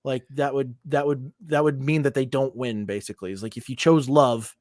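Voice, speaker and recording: A man's voice: mid-range voice, moderate pace, dry recording, studio quality. A man co-hosting a podcast, talking animatedly about movies in casual chat, swearing often and filling his talk with "like". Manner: animated, heavy swearing, lots of "like". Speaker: podcast co-host.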